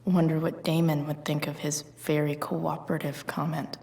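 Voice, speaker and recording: An actress voicing a tough, guarded, foul-mouthed teenage girl in a video game, with a husky voice and muttered, halting delivery. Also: deep voice, flat delivery, darkish tone. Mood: muttering, suspicious.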